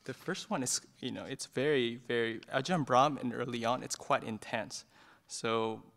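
A man's voice: mid-range voice, normal speed, gravelly. A middle-aged man from Indonesia, a Buddhist monk, leading an informal book discussion with many fillers and restarts, speaking into a stand microphone in a meditation hall. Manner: hesitant, many fillers and restarts, gentle and soft-spoken. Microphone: stand microphone in front of his chair.

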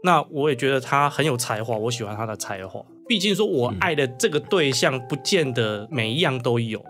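A Taiwanese man talks reflectively as an interviewed author. His pitch is average, and soft music plays faintly behind him. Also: animated, brisk. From Kaohsiung, Taiwan. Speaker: guest author.

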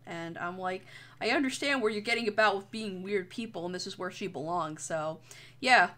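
A young woman with a bright, mid-pitched voice streams casually as she draws. She rambles in a loose, jokey way and swears freely. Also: normal speed. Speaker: streamer, artist. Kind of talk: casual livestream chat while drawing.

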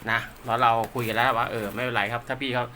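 A man's voice: medium pitch, flat delivery, very fast, bright, forceful tone, slight background noise. A man giving casual, polite, rambling hands-on commentary as a technician showing gear he is setting up, half talking to himself as he works. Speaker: radio technician and seller presenting his work.

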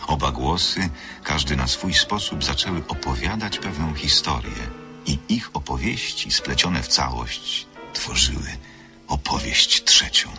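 Deep-voiced male radio drama narrator. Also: expressive, over music. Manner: measured, literary storytelling.

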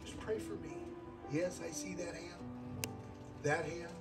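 High-pitched older man preaching, distant sound. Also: flat delivery, slow pace, over loud music. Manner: earnest, repeats phrases for emphasis, calls for amens. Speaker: guest preacher. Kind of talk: sermon with altar call.

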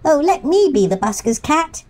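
A man's voice: very high-pitched, big pitch swings, moderate pace, darkish tone, voice pushed up. A man voicing a small, earnest male cat in a children's storybook, with an eager, sing-song delivery.

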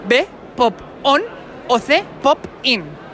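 A man's voice: very high voice, sing-song, slow, pushed and bright, a faint crowd, a little hiss or hum. Brash young man. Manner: brash, mocking, playful insults. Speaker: host of street quiz. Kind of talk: teasing street quiz interview. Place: indoor convention hall. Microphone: handheld mic with furry windscreen.

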